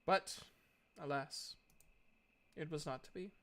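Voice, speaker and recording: A man puts on a disillusioned woman from a tabletop fantasy game. The delivery is measured and rueful, in a bright voice that sits a little high for a man.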